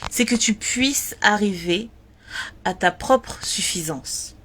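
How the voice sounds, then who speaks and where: A woman talking earnestly and directly to her listeners, repeating words and pausing on fillers as she gives spiritual advice. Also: mid-range voice, animated, fairly bright tone, slight background noise. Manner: earnest, repetitive, lots of fillers. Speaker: spiritual advice content creator. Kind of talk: casual talk.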